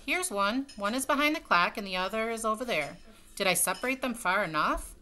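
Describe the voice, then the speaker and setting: A woman's voice: medium pitch, lively intonation, a little fast, pushed and bright. A woman voicing a cheerful, literal-minded, muddled storybook housemaid in a chirpy, matter-of-fact way. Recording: webcam sound with faint noise.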